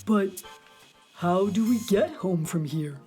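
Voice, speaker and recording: A woman's voice: medium pitch, lively intonation, slow, mellow, faint music behind. A woman voicing a small, whiny, pleading and tired piglet from space in a children's audio drama.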